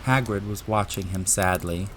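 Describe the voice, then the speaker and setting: A man's voice: fairly low pitch, moderate pace, background noise. A man reading scripted narration for a dramatised audiobook.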